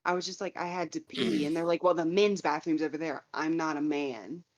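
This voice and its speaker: A woman's voice: sits low, fairly even intonation, brisk. A woman co-hosting a casual podcast, telling everyday stories plainly and quoting other people in them. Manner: plain, storytelling with quoted voices. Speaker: podcast co-host. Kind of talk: casual chat. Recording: dull lo-fi mic.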